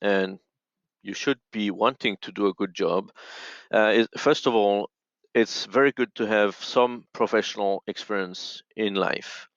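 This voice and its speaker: A man giving instructive advice as an interview guest, in a halting way, restating his phrases as he goes. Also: on the low side, dry recording. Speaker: audio and radio expert, podcast guest. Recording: dull, dry lo-fi call audio.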